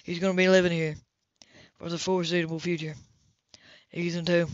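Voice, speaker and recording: Woman voicing a gruff, grumbling man. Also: on the low side, level tone, moderate pace, dry recording, lo-fi sound, voice pushed down, lightly acted. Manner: curses and grumbles. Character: gruff frustrated adult man, brusque.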